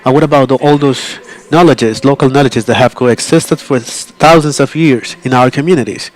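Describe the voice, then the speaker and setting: Halting male conference interpreter. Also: mid-range voice, poor audio. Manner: halting, frequent restarts and self-corrections. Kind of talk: live interpretation of a conference talk.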